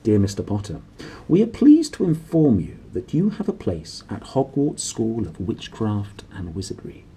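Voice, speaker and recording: A man voicing a formal deputy headmistress's official storybook letter, precise and measured. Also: on the low side, wildly swooping pitch, warm tone, a little hiss or hum, poor audio.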